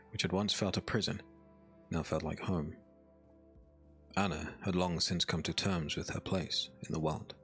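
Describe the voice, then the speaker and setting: A man narrating a dark fantasy audiobook as a scripted story, in a solemn, measured manner. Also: low voice.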